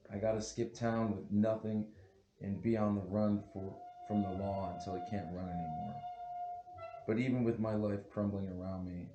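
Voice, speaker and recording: An actor at a table read playing a panicked, guilty husband with a nervous, hesitant way of talking. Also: male voice, deep voice, dark tone, highs cut off. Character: panicked, guilty husband turned killer, stammering and pleading. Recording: roomy echo with faint background noise.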